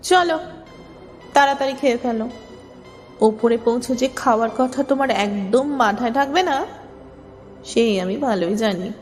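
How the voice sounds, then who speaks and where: An actress playing an anxious, superstitious but caring elder-sisterly companion in an audio story. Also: female voice, on the high side, normal speed, long pauses, a little mellow, natural voice. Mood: caring, teasing. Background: music.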